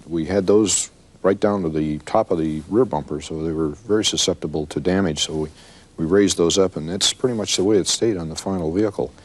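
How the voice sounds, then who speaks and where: Deep-voiced American man, designer. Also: a little mellow. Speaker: vehicle designer. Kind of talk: panel discussion about product development.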